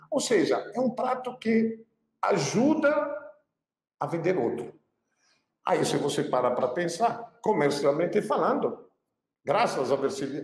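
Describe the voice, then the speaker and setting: Expressive Pernambuco-accented chef, echoey room. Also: male voice, fairly high pitch. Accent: Pernambuco Brazilian Portuguese. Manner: expressive, repeats phrases for emphasis. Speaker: chef giving cooking business advice. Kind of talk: casual talk to camera.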